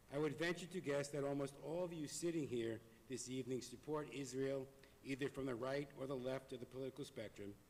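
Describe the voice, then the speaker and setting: Hoarse guy reading a speech to the congregation, earnest and steady, hall reverb behind him. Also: mid-range voice. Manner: earnest, measured, reading from notes. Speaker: congregant giving an appeal. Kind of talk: prepared speech. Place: synagogue sanctuary.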